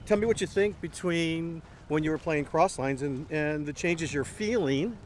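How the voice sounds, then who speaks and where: A middle-aged man interviewing a golfer outdoors on a golf course, talking in a salesy way and often repeating words, picked up by an overhead boom mic. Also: normal speed, slight background noise. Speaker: company head interviewing a golfer. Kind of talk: promotional walk-and-talk interview.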